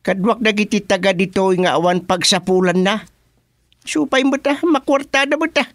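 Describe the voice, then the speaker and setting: A man playing an older village father who serves as a barangay councilor, speaking in a gruff, laid-back way. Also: high voice, moderate pace, a bit bright, no room sound, clean studio sound. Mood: joking. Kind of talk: radio drama acting.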